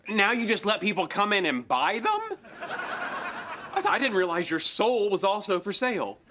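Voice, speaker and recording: Fussy, high-voiced sitcom nitpicker. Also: male voice, huge pitch swings, moderate pace, background noise. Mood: indignant. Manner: fussy, precise, literal-minded. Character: pedantic, easily offended male comic-book fan. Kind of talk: sitcom acting before a studio audience. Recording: loud studio audience laughter behind dialogue.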